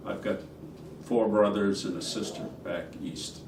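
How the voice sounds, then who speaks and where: A man's voice: lowish voice, steady tone, unhurried, vocal fry, darkish tone. An older man with a New England accent, rambling with many fillers as an interviewee. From Massachusetts. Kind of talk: casual conversational interview. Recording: roomy, distant mic with background noise.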